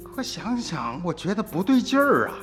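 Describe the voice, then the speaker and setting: A man's voice: high-pitched, normal speed, in a large hall, soft background music. An actor playing a watchful father who recounts his memories as dramatic radio drama narration. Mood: puzzled. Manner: storytelling, expressive.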